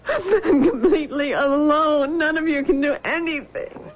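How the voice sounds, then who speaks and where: A woman's voice: high voice, unhurried, slight background noise. An actress playing a frightened young woman haunted by a dead lover, tearful and stammering, in a 1970s radio drama. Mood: weeping. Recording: dull lo-fi old radio broadcast.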